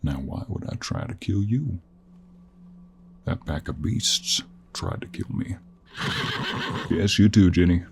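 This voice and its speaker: A man playing a gruff, teasing cowboy monster hunter in an intimate audio roleplay, with a gravelly, husky voice and an American Western drawl. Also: low voice, lively intonation, a little mellow, slight background noise, full character voice. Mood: stern. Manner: slow, teasing drawl with folksy endearments.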